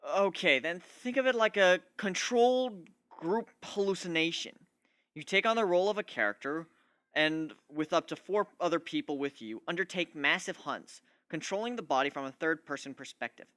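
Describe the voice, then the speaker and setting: A man's voice: a little high, animated, normal speed, a bit bright, dry recording, studio quality. A man voicing a cocky, scheming male griffin in a dramatic story reading, talking in a boastful, glib way. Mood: matter-of-fact. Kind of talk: dramatic reading of fan fiction.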